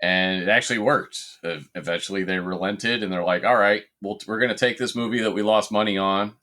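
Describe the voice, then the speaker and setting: Man, very low voice, podcast host, relaxed casual chat. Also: a little fast. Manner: laid-back, leads the discussion.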